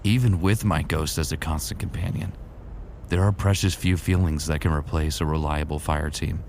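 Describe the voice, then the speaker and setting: Deep, gravelly man playing a brooding space soldier. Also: fairly even intonation, moderate pace, a little mellow, slight background noise. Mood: reflective. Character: weary, remorseful male Titan space soldier and narrator. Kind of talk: first-person dramatic narration and dialogue, sci-fi audio drama.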